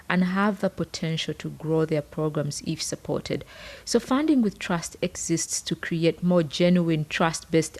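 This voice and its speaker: A woman with a Ugandan accent speaking as a podcast co-host in an earnest, articulate, explanatory way. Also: low voice. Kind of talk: prepared podcast conversation.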